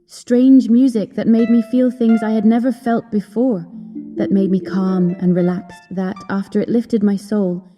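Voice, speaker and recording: Actress as wistful young opera singer telling her tale, really soft dark tone, big reverb, music under it. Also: mid-range voice, steady tone, close to an everyday voice. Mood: entranced, wistful. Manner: literary, measured, earnest. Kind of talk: dramatic first-person storytelling in an audio drama. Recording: long hall reverb, music behind.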